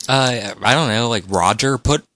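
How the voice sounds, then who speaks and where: A man's voice: medium pitch, normal speed, bright, forceful tone. Brash, crude male prank caller. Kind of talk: casual prank call chat.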